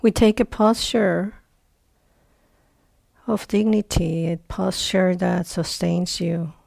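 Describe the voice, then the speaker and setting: Slow, mellow woman guiding meditation. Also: lowish voice, fairly even intonation, vocal fry, dry recording. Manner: unhurried, with long pauses between short phrases. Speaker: meditation teacher. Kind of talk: guided meditation instruction.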